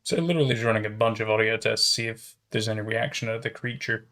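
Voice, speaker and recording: A man's voice: lowish voice, steady tone, fast, pushed and bright. A man casually chatting and reacting as a livestreamer, musing aloud and often repeating his phrases. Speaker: streamer reacting to horror videos.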